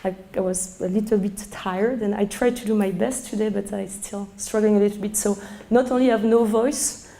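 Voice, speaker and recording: Hoarse French-accented woman teaching a wine seminar. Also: medium pitch, moderate pace, hardly any pauses. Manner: chatty, many fillers, excitable. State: hoarse, losing her voice. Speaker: sommelier presenting a seminar. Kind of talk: live talk to a seminar audience. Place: seminar room.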